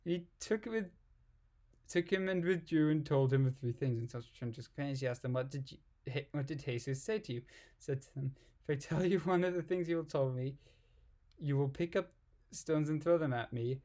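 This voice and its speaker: A man's voice: on the high side, brisk, mellow. A man reading aloud and commenting casually in an irreverent, giggly, puzzled manner. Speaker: amateur reader and commentator.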